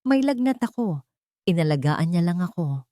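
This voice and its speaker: Brooding, guarded male boss and father, read by a woman, lowish pitch, intense romance audiobook delivery, dry sound. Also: big pitch swings, moderate pace, dark tone, dry recording. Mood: defensive.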